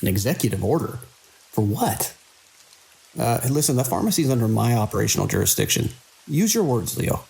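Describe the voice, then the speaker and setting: A man playing a weary, caring middle-aged hospital director in an audio drama, measured and dry with small hesitant pauses. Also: medium pitch, very animated, gravelly, a little mellow, poor audio. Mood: concerned.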